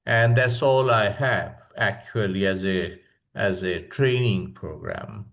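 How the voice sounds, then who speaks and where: An older man from Bangladesh with a Bangladeshi accent, speaking in a halting, reflective way as a guest presenter on an online webinar. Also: on the low side, fairly bright tone. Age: 60s or older. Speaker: professor of palliative medicine, guest speaker. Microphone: online video call.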